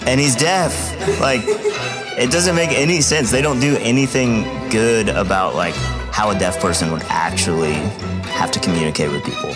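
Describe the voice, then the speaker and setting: A man co-hosting a podcast in casual chat, making his point in a relaxed, gently complaining way. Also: fairly low pitch, moderate pace, loud music behind the voice. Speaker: podcast co-host.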